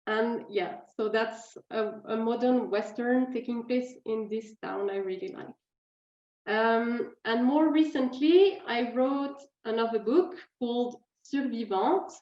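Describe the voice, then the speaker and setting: A woman's voice: a little high, dark tone, reverberant, highs cut off. A young woman with a Swiss French accent, a writer talking about her books in English with many fillers and restarts. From French-speaking Switzerland.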